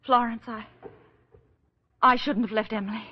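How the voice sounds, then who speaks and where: An actress in an old-time radio drama playing a distressed, guilt-ridden woman with a halting, pleading manner. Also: fairly high pitch, steady tone, breathy edge. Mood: urgent, pleading. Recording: muffled old radio recording.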